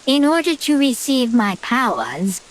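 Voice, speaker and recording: Woman as a high, slow, melodramatic witch. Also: very animated, slight background noise, rough recording. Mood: grave, resigned. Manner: theatrical, drawn-out. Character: female witch, sardonic, melodramatic, doomed. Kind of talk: horror-comedy radio play acting.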